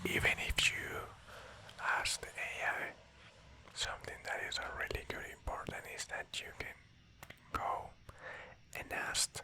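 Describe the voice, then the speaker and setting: Whispering language teacher. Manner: soft whisper, repeats words in pairs, calls listeners class. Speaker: Spanish teacher. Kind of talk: whispered ASMR language lesson.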